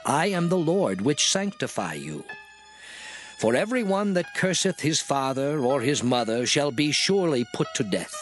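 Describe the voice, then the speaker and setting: An actor playing God as a solemn lawgiver in a dramatized scripture reading, speaking in a measured, declamatory manner. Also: male voice, on the high side, big pitch swings, faint music behind. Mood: stern, condemning.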